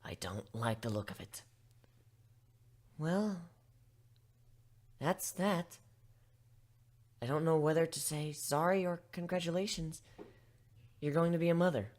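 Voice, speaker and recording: A woman's voice: deep voice, unhurried, pauses often, darkish tone. A woman voicing a brainy female magical pony, plain and explanatory, in a dramatic story reading. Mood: matter-of-fact. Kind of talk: fan fiction dramatic reading.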